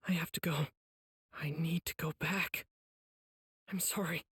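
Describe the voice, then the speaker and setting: A man's voice: on the high side, steady tone, a little slow, fairly bright tone, reverberant, big-room sound. A man voicing an earnest, kind-hearted teenage boy swordsman with emotional delivery in an anime game. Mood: sorrowful, resolute.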